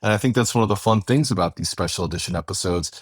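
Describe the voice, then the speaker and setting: A man with a fairly low voice co-hosts a podcast in relaxed conversational phrasing. The recording is dry, with very little room sound around his casual introduction. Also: very fast, breathy edge, no room sound. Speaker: podcast co-host. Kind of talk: casual introductory chat about an audio drama.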